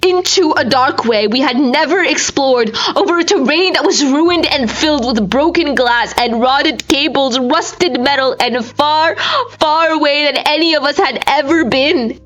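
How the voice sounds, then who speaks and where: A woman's voice: high-pitched, measured pace, a bit bright. A woman reading a horror story aloud in an intense, theatrical manner. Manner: intense, theatrical, with breathy whispers and strained cries. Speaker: amateur audiobook reader. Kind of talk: dramatic scripted horror story narration.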